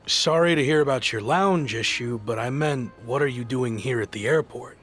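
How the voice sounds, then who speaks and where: Husky, wry wrestling veteran. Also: male voice, medium pitch, moderate pace, a bit bright, soft background music. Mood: dry, curious. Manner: dry, understated, sardonic. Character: dry, wry former wrestling star on a comeback. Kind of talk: video game cutscene acting.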